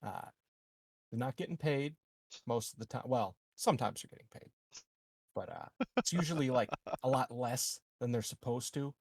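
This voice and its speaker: A man playing a tabletop game, improvising casually in a halting, blunt way. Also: medium pitch, lively intonation, gravelly, darkish tone, husky, no room sound, studio quality. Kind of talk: casual improvised roleplay talk.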